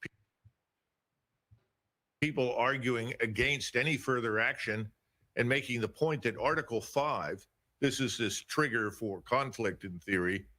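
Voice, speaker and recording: A man answering as an interview guest, giving firm, deliberate political analysis. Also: medium pitch, sharp tone.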